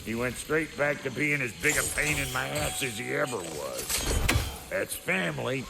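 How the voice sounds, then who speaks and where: An actor playing a crude, gruff, wisecracking dwarf smith in a video game, with a gravelly, folksy, slangy drawl. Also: male voice, mid-range voice, lively intonation, moderate pace, very noisy. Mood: earnest, blunt. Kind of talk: video game character acting.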